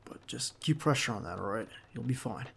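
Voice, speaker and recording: A man plays a protective bodyguard in an intimate ASMR audio drama. His voice sits at an average male pitch with a heavy gravelly fry. He talks in a hushed, halting, reassuring way, with faint noise behind him. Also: lively intonation. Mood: steady, reassuring. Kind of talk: intimate ASMR roleplay acting.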